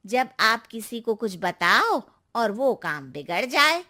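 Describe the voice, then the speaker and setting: A woman voicing an older, knowing neighbourhood auntie who gives slow, ominous advice in a cartoon story. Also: on the high side, big pitch swings, pushed and bright, exaggerated voice. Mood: ominous warning. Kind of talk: Hindi moral-story cartoon acting.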